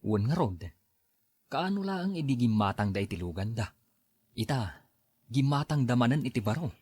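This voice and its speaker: Lively, husky Ilocano actor as an easygoing husband. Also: male voice, medium pitch, moderate pace, dry recording. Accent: Ilocano Filipino. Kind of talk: Ilocano audio drama acting, conversational.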